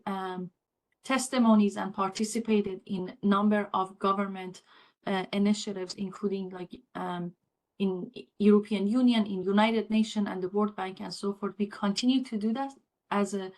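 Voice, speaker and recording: A woman from Iran answering as an expert panelist, speaking off the cuff with searching pauses. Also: medium pitch, rough recording.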